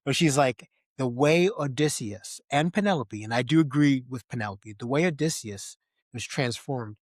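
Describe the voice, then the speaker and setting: Male podcast host, mid-pitched voice, casual film discussion, conversational, checks for agreement, very dry close sound. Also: animated, moderate pace, no room sound.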